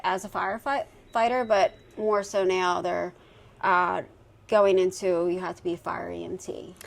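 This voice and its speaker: A woman in her forties from Pennsylvania, a firefighter guest chatting casually in a podcast interview, relaxed and quick to laugh, with plenty of ums and uhs. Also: lowish voice, creaky. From Pennsylvania, United States. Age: 40s. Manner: relaxed, laughs easily, many um and uh fillers.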